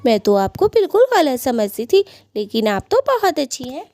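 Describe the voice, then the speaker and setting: A woman voicing a sweet, gentle, trusting little female bird wife in a cartoon. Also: sits high, sing-song, moderate pace, slight background noise. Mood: grateful, surprised. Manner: gentle, adoring. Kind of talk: Hindi cartoon voice acting.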